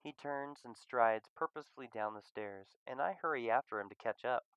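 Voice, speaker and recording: A man reading a visual novel live in a soft, quiet narration, chatting with viewers between lines. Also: mid-range voice, brisk, clear, resonant tone, no echo, dull audio.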